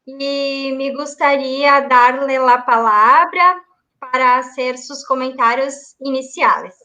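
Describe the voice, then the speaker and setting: A woman's voice: sits high, bright, forceful tone, muffled recording. A woman from Brazil, a native Portuguese speaker, speaking Spanish with a Brazilian Portuguese accent as a formal webinar moderator. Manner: formal, careful, deliberate. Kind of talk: formal read-out introduction. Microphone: video call.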